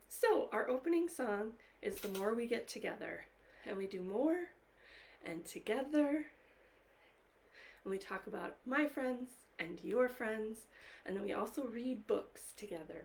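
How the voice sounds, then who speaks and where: Woman, slightly high voice, very slow pace, encouraging, gentle questions to kids, story time host. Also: lively intonation, vocal fry. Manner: encouraging, gently questioning, addressing children directly. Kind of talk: children's live online story time, talking to young viewers.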